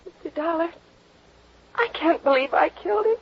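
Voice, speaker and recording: A dazed, frightened suspect. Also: female voice, very high-pitched, lively intonation, a little hiss or hum. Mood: dazed, shaken. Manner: halting, hazy, trailing off. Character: dazed, frightened young woman suspected of murder. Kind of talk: 1950s radio drama acting. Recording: dull old radio broadcast recording.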